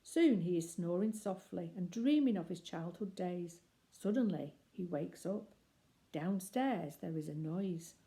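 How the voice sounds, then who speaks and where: Mellow, lowish-voiced woman reading a children's story. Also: lively intonation, measured pace.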